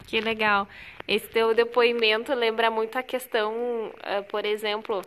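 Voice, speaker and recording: A woman's voice: fairly high pitch, flat delivery, measured pace, a bit bright. A woman from southern Brazil interviewing a guest, affirming and building on his answers in easy conversation.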